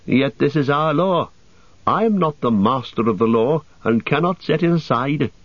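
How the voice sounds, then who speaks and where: A man playing a proud, stern elf guard captain in an audiobook. The voice lifts above the narrator's low tone and stays formal and unbending. The recording is dry and a little dull. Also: expressive, normal speed, no echo.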